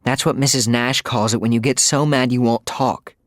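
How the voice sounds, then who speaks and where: A man's voice: medium pitch, steady tone, moderate pace, no echo, studio quality, lightly acted. A man voicing a blunt, teasing teenage girl. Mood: needling.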